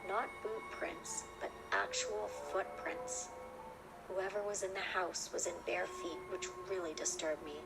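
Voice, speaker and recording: A woman's voice: lowish voice, fairly even intonation, unhurried, creaky. A woman reading a scripted first-person horror story as narrator. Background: loud music.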